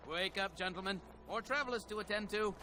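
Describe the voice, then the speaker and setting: A man playing a smug highwayman leader in a video game, falsely cheery and mock-polite. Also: brisk, sharp tone, a little hiss or hum. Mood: smugly cheerful. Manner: sing-song, mock-polite.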